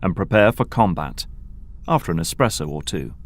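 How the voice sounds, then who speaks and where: A man's voice: sits low, animated, moderate pace. A man narrating a scripted audio drama.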